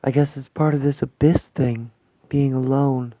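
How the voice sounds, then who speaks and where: A man's voice: medium pitch, steady tone, unhurried, mellow, dry recording, natural voice. A man voicing a young woman who is hesitant and shaken, often stammering. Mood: confused. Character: grieving young woman seeker, earnest and shaken. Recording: dry tinny phone-like sound.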